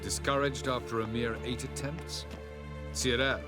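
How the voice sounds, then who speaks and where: Male mentor for fantasy game actor: elf sage, mid-pitched, formal, coolly condescending, clear music underneath. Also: steady tone, measured pace, lightly acted. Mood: cool, stern. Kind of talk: video game cutscene acting.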